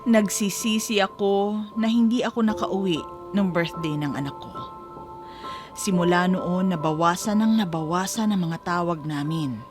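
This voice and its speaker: A woman's voice: on the low side, normal speed, music in the background, lightly acted. A woman playing a homesick, devoted Filipino mother who narrates her own story in an audio drama. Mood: weary, sorrowful.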